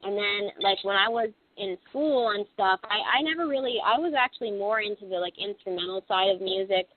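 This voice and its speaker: A woman's voice: mid-range voice, fairly quick. Young woman singer, rambling with fillers. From Pennsylvania, USA. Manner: rambling, heavy with "like" and "you know" fillers. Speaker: band singer, guest. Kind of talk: casual radio interview. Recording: lo-fi phone-like radio recording.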